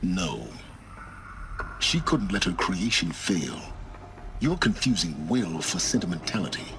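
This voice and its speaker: A man playing a proud, detached mentor in a video game, speaking in measured, formal words. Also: deep voice, sing-song, over music, heavily acted. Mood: cool, insistent. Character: cool, proud, analytical male mentor, dismissive of sentiment. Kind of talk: dramatic video game cutscene acting.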